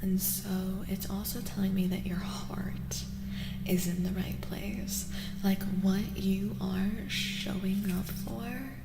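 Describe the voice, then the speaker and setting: A woman doing a soft-spoken ASMR tarot reading as a streamer, breathy and often near a whisper, soothing and affirming, gently repeating her phrases. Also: medium pitch, level tone, takes it slowly, background noise. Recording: echoey reverb with music behind. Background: music.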